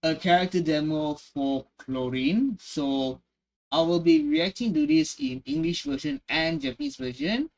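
A man's voice: extremely high pitch, very animated, measured pace, gravelly, low-quality recording. A man in his twenties giving casual, slangy reaction commentary, exclaiming and repeating his phrases. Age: 20s. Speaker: reaction video host, gamer. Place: small bedroom, indoors.

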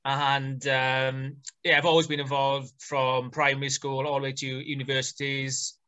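A man's voice: medium pitch, flat delivery, unhurried, pushed and bright. A Welsh man in his thirties, a rugby coach chatting casually on a video call from a small room at home, with plenty of ums and fillers. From Wales. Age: 30s. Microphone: video call webcam.

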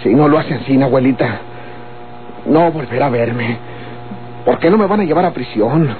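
A man's voice: mid-range voice, expressive, normal speed, over a noisy crowd, natural voice. Man playing a lying young Mexican bandit, tinny old radio. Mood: pleading, scheming. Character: young male bandit and murderer, wheedling, lying, hot-tempered. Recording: muffled old radio recording with mains hum.

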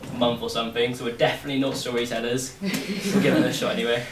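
A teenage busker telling a story on stage in a casual, self-deprecating way. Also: male voice, medium pitch, fairly even intonation, quick pace, background noise. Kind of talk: nervous live storytelling. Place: small room at a live storytelling night. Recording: echoey room, far camera.

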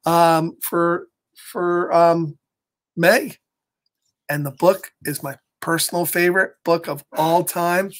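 A middle-aged American man hosting a children's story time, reading a picture book aloud with chatty asides in an animated, exaggerated way. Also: on the high side. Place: small home office, indoors.

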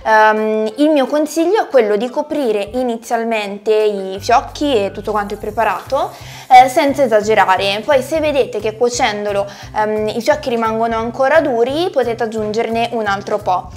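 A young woman presenting a recipe tutorial to camera from her home kitchen, chatty and explanatory, pausing often on fillers. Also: a little high, darkish tone, faint music behind. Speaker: cooking channel host.

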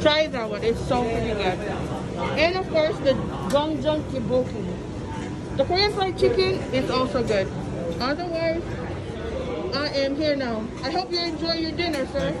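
A woman with a high voice talks unhurriedly to her phone as she films a casual vlog. She is chatty and enthusiastic, repeating phrases as she goes, with the loud chatter of a big restaurant around her. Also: expressive, a little slow, poor audio. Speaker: vlogger. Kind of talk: casual vlog commentary while touring a buffet. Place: large busy buffet restaurant, indoors. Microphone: handheld phone camera. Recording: busy indoor restaurant crowd and music. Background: diners' chatter and background music.

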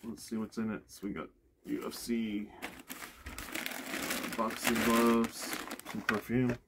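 A man's voice: lowish voice, flat delivery, slow. A man narrating casually as he digs through boxes inside a cargo trailer, thinking aloud, recorded on a body-mounted camera. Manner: offhand, thinks aloud with fillers. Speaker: storage-unit reseller. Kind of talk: casual narration while unpacking. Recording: noisy camera audio.

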